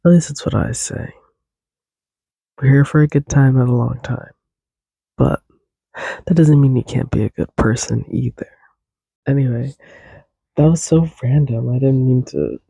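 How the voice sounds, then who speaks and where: A man's voice: mid-range voice, measured pace, gravelly, long pauses, mellow, breathy edge, no room sound, poor audio. A young man hosting a solo podcast, rambling casually and a little congested, drifting off on tangents and humming tunes. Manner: casual, tangent-prone, hums and sings tunes. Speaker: podcast host.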